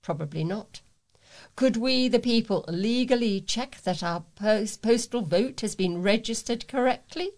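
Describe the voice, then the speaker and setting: A woman reads letters and local news aloud as a volunteer, phrasing things in a measured, deliberate way. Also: fairly high pitch, a little mellow. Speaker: volunteer reader for a local talking newspaper.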